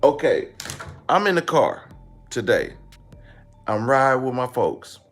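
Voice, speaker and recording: Man, American accent, casual comedic commentary, fry in the voice, blunt slang, emphatic repeats, faint music behind. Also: mid-range voice, measured pace. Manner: animated, repeats phrases for emphasis. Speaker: online commentary host.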